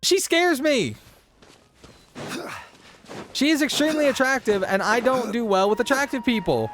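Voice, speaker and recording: A man's voice: very high voice, expressive, moderate pace, a bit bright, slight background noise. A man streaming a game with casual, chatty commentary, reacting to the dialogue in a self-deprecating way. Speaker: gaming streamer. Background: faint game music.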